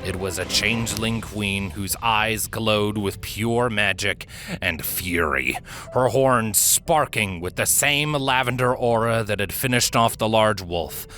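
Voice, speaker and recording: A man reading fiction aloud as a dramatic story narrator, with theatrical, deliberate emphasis. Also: on the low side, pushed and bright. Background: background music.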